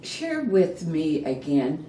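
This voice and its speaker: A woman with a low, mellow voice and a lively, sing-song delivery interviews her guests in a playful way. She is heard from a distance in an echoey room with faint noise behind. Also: slow pace. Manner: lively, playful, prompts with questions. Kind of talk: casual conversational interview. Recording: roomy, distant mic with background noise.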